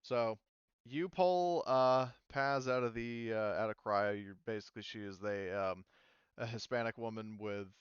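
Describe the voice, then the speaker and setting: A man's voice: medium pitch, normal speed, clear, resonant tone, no room sound. A man running a tabletop game as game master, narrating in a rambling way with lots of fillers. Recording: slightly dull, dry online audio.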